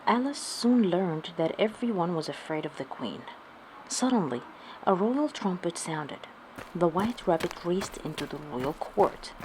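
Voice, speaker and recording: A woman storyteller reads aloud for children. Her voice sits a little low and she takes the narration slowly. There is faint noise in the recording. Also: lively intonation, darkish tone, rough recording.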